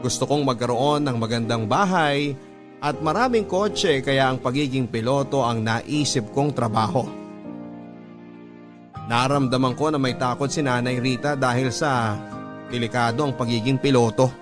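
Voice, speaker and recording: An actor narrating in the first person as a sincere young pilot, with a Filipino accent, in scripted radio-drama style. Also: male voice, medium pitch, normal speed, over music, lightly acted. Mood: sincere, proud. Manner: earnest, sincere. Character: earnest young male pilot telling his life story.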